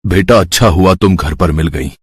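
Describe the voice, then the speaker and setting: Male actor as middle-aged dad, lowish, husky, polite, affectionate, dry sound, moral story. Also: moderate pace, no room sound. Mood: kindly greeting. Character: polite, kindly middle-aged father of a married daughter.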